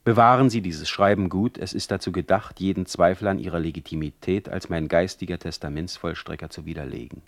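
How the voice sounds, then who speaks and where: A man's voice: low voice, fairly even intonation, a little fast, few pauses. A man playing a sardonic, imperious German poet in exile for a radio play, declaiming his words. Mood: bitter irony. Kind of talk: radio play acting and letter reading.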